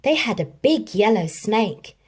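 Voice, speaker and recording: A woman reading a children's story aloud in an expressive, dramatic storytelling manner. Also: medium pitch, a little slow, husky. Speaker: storybook reader.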